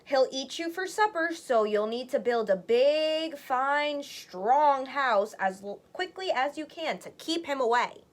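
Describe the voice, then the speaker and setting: A woman's voice: high-pitched, expressive, unhurried, few pauses. A woman voicing a caring mother pig in a storybook, cautioning her children with motherly emphasis.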